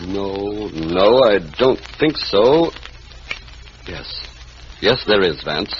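Deep-voiced, reserved scientist. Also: male voice, expressive, drawn-out words. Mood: formal, uneasy. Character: reserved, learned, evasive male observatory scientist. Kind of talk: 1940s radio mystery acting. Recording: muffled, noisy old radio recording.

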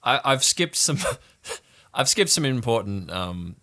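A man's voice: mid-range voice, sing-song, slow, sharp tone, voice pushed up, exaggerated voice. A man voicing a laid-back fifteen-year-old boy who runs a camp cult, with slangy, self-important dude talk, in a tabletop game. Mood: breezy, self-important.

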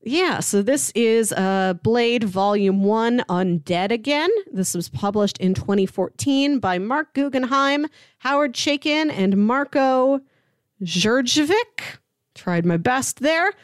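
Casual podcast lady, kinda high voice, really dry room sound. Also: expressive, slow pace, clean tone, no room sound. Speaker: podcast co-host. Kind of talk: casual podcast chat, reading out comic blurbs.

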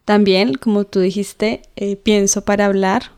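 A Colombian woman in her thirties, co-hosting a casual chat and talking thoughtfully with frequent fillers and soft laughs. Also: medium pitch, fairly even intonation, a little slow, smooth. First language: Spanish. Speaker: co-host.

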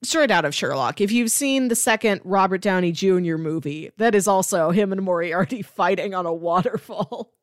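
A woman's voice: mid-range voice, expressive, normal speed, no echo. Woman co-host in casual chat, dry close sound. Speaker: podcast co-host. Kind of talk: casual pop-culture discussion.

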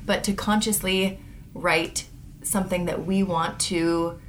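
A woman in her thirties co-hosting a casual talk show, animated and full of questions. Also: fairly low pitch, takes it slowly, slight background noise. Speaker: podcast co-host. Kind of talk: casual conversational chat.

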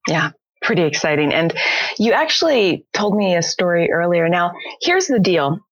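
A woman's voice: fairly low pitch, very animated, normal speed, dry recording. A woman co-hosting a podcast interview, talking in an upbeat, chatty way. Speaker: podcast co-host.

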